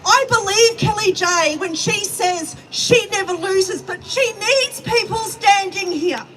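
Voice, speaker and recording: A woman's voice: very high-pitched, takes it slowly. A woman giving a fierce, pleading speech at an outdoor rally. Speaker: rally speaker. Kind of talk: impassioned protest speech. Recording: distant mic, heavy noise.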